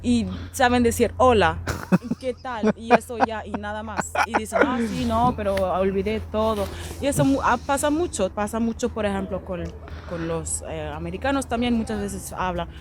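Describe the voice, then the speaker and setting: A woman's voice: mid-range voice, normal speed, crowd noise. A young German woman, a guest in a casual interview, talking in a chatty way with frequent laughs and fillers. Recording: faint background noise.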